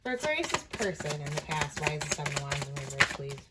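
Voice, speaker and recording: A woman with a low-pitched voice gives an off-the-cuff tarot reading. She talks in a casual, conversational way as the reader. She thinks aloud and often pauses between phrases. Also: lively intonation, very noisy.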